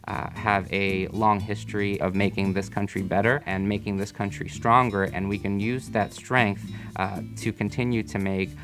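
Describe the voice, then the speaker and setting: Calm low-voiced man, even delivery. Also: music in the background. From United States (Miami, Florida). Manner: calm, measured, with occasional fillers. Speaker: emergency physician. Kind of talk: interview testimonial.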